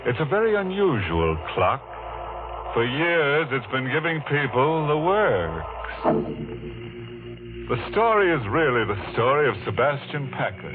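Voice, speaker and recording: A man's voice: mid-range voice, very animated. An actor playing a gleefully morbid, mocking horror host on a 1940s radio show, savoring his macabre puns. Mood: sly, ominous. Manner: macabre punning, slow savoring delivery, chuckles. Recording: muffled old radio recording, organ music.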